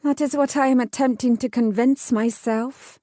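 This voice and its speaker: A woman's voice: high voice, steady tone, moderate pace, mellow, dry recording, voice pushed up, natural voice. Casting: headstrong young lady of the castle, read by a woman narrator, lilting old-style speech, dry close sound. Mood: wry. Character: wry, headstrong young noblewoman.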